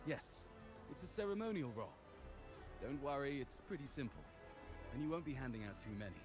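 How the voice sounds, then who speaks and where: Male actor as a gracious male ceremonial official, formal game dialogue, reassuring manner, clear music bed. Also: mid-range voice, expressive, a little fast, lightly acted. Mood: friendly, reassuring. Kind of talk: scripted game character dialogue.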